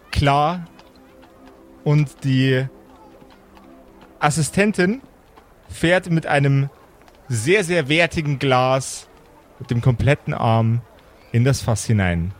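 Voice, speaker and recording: A man narrating an improvised tabletop game as game master, dramatically, with measured and suspenseful pauses. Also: on the high side.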